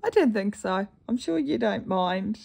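A woman with a New Zealand accent chatting casually in a stitching vlog, rambling through a story and often clearing her croaky throat. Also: mid-range voice, lively intonation, unhurried, darkish tone. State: croaky morning voice. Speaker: cross-stitch vlogger.

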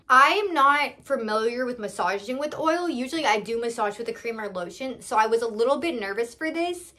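Young woman chatting, slightly high voice. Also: sharp tone. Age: young adult. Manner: candid, conversational, thinking aloud. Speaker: salon owner vlogger. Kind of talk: casual product haul chat. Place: small indoor room.

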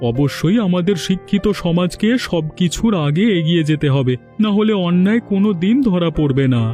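A man voicing an earnest college boy, mellow. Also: sits high, a little fast, soft background music, lightly acted. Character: earnest young male college student.

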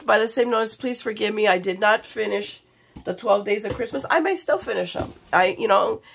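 A middle-aged woman with an American accent talks casually to her viewers. Her voice is creaky with plenty of fry, and she sounds unwell and low on energy. She rambles along in a confiding way at a medium pitch. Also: a little fast, slight background noise. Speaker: craft vlogger. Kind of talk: casual chat in an unboxing vlog. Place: indoors at a craft table.

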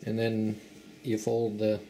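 A man's voice: on the low side, monotone, takes it slowly, dark tone, a little hiss or hum. A man explaining the steps of a home cooking demonstration in a plain, matter-of-fact way. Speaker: home cook. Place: home kitchen.

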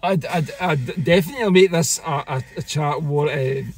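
A man's voice: fairly high pitch, moderate pace. A middle-aged Scottish man in casual chat, agreeable and full of wry banter. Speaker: co-host in passenger seat. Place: inside a parked car. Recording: some background noise inside car.

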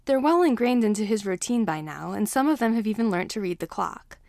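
Female story narrator, mid-pitched, reading scripted fiction in a dry, close recording. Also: expressive, a little fast, vocal fry, a little mellow, dry recording. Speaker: fan fiction reader.

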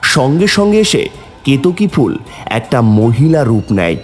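A man's voice: medium pitch, very animated, unhurried, soft background music. A man narrating a scripted mythological story as its storyteller.

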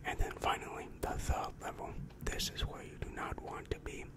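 A young man playing a calm, reassuring demon guidance counselor in an ASMR roleplay, whispering breathily and patiently explaining, close to the microphone. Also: lightly acted. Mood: patient, instructive. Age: young adult. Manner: slow, soothing, patient explaining. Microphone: close-up microphone with foam windscreen.

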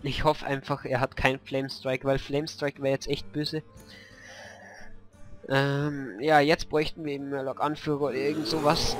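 A man with a cold and a hoarse voice, casually commentating a card game and thinking aloud about his moves, with many fillers. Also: medium pitch, level tone, moderate pace. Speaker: gameplay commentator. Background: faint background music.

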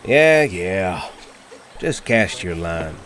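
A man playing a gruff, bossy, secretly soft-hearted grump in a roleplay audio drama, grumbling and curt. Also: sits low, big pitch swings, very slow, slight background noise, full character voice. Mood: grudging.

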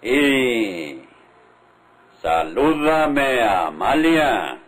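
An actor playing a sly, powerful Mexican country boss in a vintage radio drama, folksy and insinuating, with menace under the friendliness. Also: male voice, mid-range voice, sing-song, very slow. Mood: veiled menace. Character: sly, powerful rural patron, falsely friendly, veiled menace.

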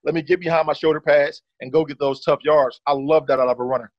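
A man in his thirties with a slightly higher voice breaks down football film in a relaxed, conversational way. His audio is dry and a little muffled, like a video call. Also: quick pace, dry recording. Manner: relaxed, leans on "certainly" and "uh". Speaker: former running back, analyst guest.

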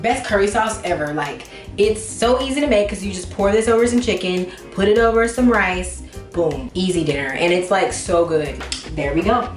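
Casual young woman vlogger, echoey room. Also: mid-range voice. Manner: informal, slangy, playfully emphatic. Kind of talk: casual chat to viewers, grocery haul. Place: home kitchen, indoors. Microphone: camera a few feet away. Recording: echoey room, faint music behind.

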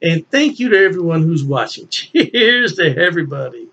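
A man's voice: fairly high pitch, big pitch swings, moderate pace, pushed and bright, husky, highs cut off. A man in his sixties chatting casually to camera as he hosts a review, enthusiastic and emphatic, often repeating phrases for emphasis. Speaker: beer reviewer, channel host. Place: small indoor room at home.

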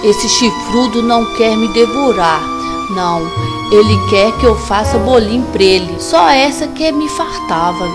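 A woman's voice: on the high side, lively intonation, a little slow. A woman voicing an indignant older female cook who grumbles in a cartoon. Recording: roomy echo, music behind.

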